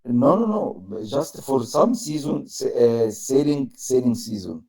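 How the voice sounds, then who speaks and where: A man, an Arabic speaker learning English, who talks haltingly, searches for words and uses simple grammar in casual conversation practice. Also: medium pitch, vocal fry, darkish tone.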